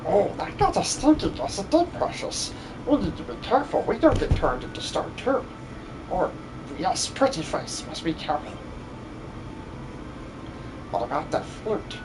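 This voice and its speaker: A man voicing a greedy, fawning, treasure-obsessed creature in a game dub, muttering and hissing with a breathy voice. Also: high-pitched, huge pitch swings, normal speed, pauses often. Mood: wheedling, greedy. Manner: hissing, muttering, repeats yes and precious. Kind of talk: amateur video game dub.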